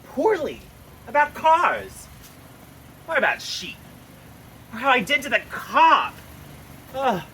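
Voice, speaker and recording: A woman's voice: sits high, huge pitch swings, takes it slowly, long pauses, fairly bright tone, slight background noise, exaggerated voice, rough recording. A woman voicing a self-centered, whiny slacker landlord in a puppet cartoon, scheming, hesitant and sarcastic. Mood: exasperated. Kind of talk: animated puppet show dialogue.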